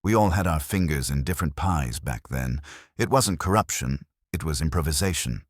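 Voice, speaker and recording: A man voices a reclusive old councillor in a mystery audiobook. He is weary and evasive, very low in pitch, with halting, terse phrases that rise and dip. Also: expressive, no room sound, clean studio sound. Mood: guarded, rueful. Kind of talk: audiobook detective mystery character reading.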